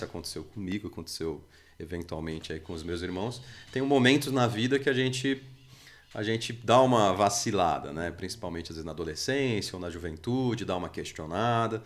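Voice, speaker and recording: A man in his forties from Brazil, chatting on a church podcast in an unhurried, hesitant way with many fillers and restarts. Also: lowish voice. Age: 40s. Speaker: church member guest. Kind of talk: casual podcast conversation.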